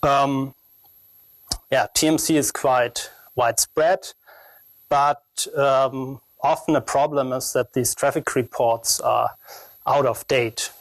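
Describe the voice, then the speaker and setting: A young man with a strong German accent presents technical research at a conference. His pitch sits in a middle range and the sound is fairly dry. He talks haltingly, searching for phrasing as a non-native speaker. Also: steady tone, dry recording, rough recording.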